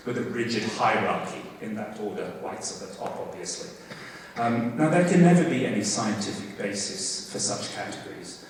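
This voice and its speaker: Man hosting an academic lecture, halting, lots of ums, recorded from across a big echoey hall. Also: mid-range voice, recorded from a distance, a little hiss or hum. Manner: hesitant, many fillers and restarts; loud voice. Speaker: host introducing a guest lecture. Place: large hall.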